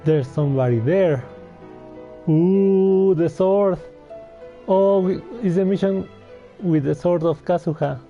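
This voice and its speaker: A man giving casual, halting gameplay commentary and reading on-screen text aloud. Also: high voice, dull audio, faint music behind. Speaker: video game streamer.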